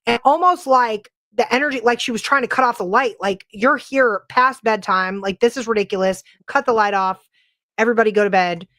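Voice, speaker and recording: A middle-aged woman hosting a podcast, talking in a chatty, animated way with lots of filler words. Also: a little high, fairly quick, no echo. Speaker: podcast host. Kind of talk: casual paranormal chat.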